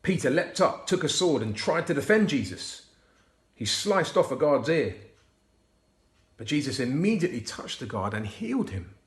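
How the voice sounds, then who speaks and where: Man softly reading a children's story. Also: a little high, moderate pace. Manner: hushed, expressive, dropping to whispers. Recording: roomy echo.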